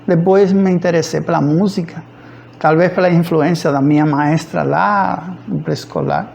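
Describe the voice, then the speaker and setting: Male professor, about 50, Cuban accent, Spanish native. Slightly high, creaky voice, informal storytelling with searching pauses. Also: steady tone, darkish tone. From rural central Cuba. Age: around 50. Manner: hesitant, searching for words with frequent pauses. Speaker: physics professor. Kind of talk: informal autobiographical account.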